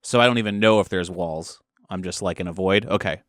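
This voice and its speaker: A man's voice: sits low, brisk, fairly bright tone, no room sound, studio quality. A man playing an improvised tabletop game, speaking in a polite, questioning and tentative way. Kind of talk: improvised in-character role-play and questions.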